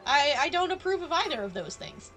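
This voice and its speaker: A woman's voice: high voice, big pitch swings, a little fast, fairly bright tone, soft background music, poor audio. A woman giving casual live-stream game commentary in a wry, sardonic, teasing manner.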